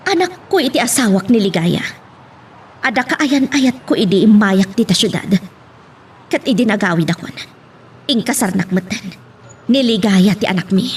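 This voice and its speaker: A woman's voice: mid-range voice, lively intonation, moderate pace, long pauses, close to an everyday voice. An actress playing a humble, forgiving working mother who speaks respectfully in a serial drama. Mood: confessing, resigned. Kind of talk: radio-style serial drama acting. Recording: faint background noise.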